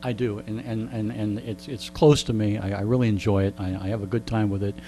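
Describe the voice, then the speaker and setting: An older man tells animated anecdotes in a casual interview, repeating phrases and often checking in with a short "okay?". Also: on the low side, a little fast. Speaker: radio veteran being interviewed. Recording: clear background noise with hum.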